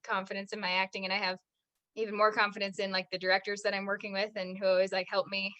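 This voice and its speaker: Bright-voiced young American woman, chatty. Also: mid-range voice, completely flat delivery, fast talker, highs cut off. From United States. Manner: chatty, says "like" constantly, laughs often. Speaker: actress, podcast guest. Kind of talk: casual interview chat.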